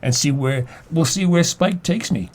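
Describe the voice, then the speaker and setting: A man in his seventies talking casually and reflectively as a guest writer, halting and repeating words as he goes. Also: a little high. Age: 70s.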